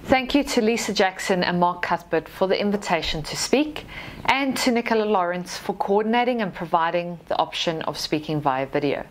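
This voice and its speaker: A woman with a Zimbabwean accent and a fairly low voice delivers a prepared talk. Her manner is formal and measured, as a manager addressing a professional conference. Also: animated. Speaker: army lessons manager giving a presentation. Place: small indoor studio with dark backdrop. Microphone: lapel mic.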